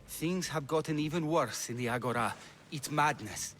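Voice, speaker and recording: A man's voice: on the high side, normal speed, fairly bright tone, natural voice. An actor playing a caring, solemn Athenian man in a dramatic video game cutscene. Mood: worried.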